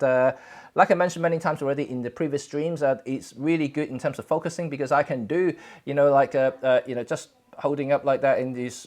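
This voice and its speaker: A Cantonese-native man from Hong Kong chats casually to viewers of his photography livestream. He talks in a halting, relaxed way, full of fillers and restarts, from a home setup. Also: medium pitch. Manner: halting, many fillers and self-corrections. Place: home, indoors. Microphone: camera setup for streaming.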